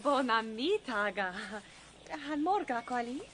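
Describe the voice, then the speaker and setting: An actress playing a tiny, affectionate, childlike woman of the future in a radio drama, speaking in simple exclamations and halting words. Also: on the high side, lively intonation, a bit bright, a little hiss or hum. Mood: delighted, shy.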